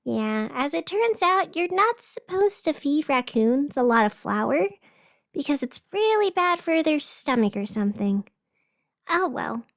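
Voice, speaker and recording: A woman's voice: sits high, very animated, a little slow, no echo, clean studio sound, full character voice. A woman playing a chatty, wry young radio host in a fiction podcast, talking casually in asides. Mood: wry, upbeat. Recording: music behind the voice. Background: music.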